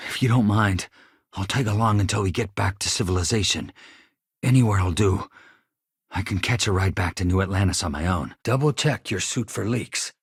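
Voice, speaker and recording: Casting: video game engineer, earnest accident survivor, polite and hesitant. Husky, lively intonation, dry close studio sound. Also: male voice, deep voice, moderate pace, no echo, exaggerated voice. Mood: calm, friendly. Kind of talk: video game dialogue acting.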